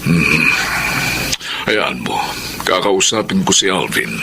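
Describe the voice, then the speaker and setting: Fatherly middle-aged filipino tito, deep voice super animated, reassuring, radio soap, noisy behind. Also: creaky, full character voice. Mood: fond, concerned. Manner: avuncular, unhurried interjections. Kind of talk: Filipino radio drama acting. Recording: background ambience noise.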